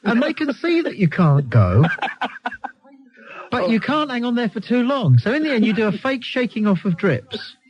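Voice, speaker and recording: A man's voice: very high voice, big pitch swings, normal speed, husky. An English man hosting a live radio phone-in, bantering and telling anecdotes in a cheeky, teasing, sardonic way. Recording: dull lo-fi radio broadcast recording.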